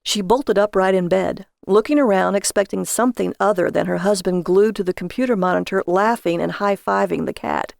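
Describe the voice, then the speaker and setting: A woman narrates a short story in a scripted audiobook style. Her voice is medium in pitch with plenty of vocal fry, and the recording is very dry and close. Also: fairly quick, hardly any pauses, darkish tone, no room sound.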